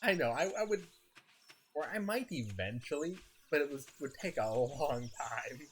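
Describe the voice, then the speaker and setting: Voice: mid-range voice, expressive, normal speed. Chatty streamer with a scratchy throat. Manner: rambling, chatty, laughs often. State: slightly sick, scratchy throat. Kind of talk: casual gameplay chat.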